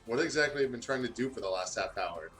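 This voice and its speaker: A man's voice: mid-range voice, animated, fast talker, a bit bright, soft background music, close to an everyday voice. A man voicing a studious, exasperated young female student with a huffy manner in a fanfiction reading.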